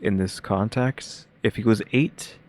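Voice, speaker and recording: A man's voice: on the low side, a little mellow. A man casually commenting on a video he is reacting to, rambling with many fillers and thinking aloud. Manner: rambling, many fillers, pace jumps between slow and rushed.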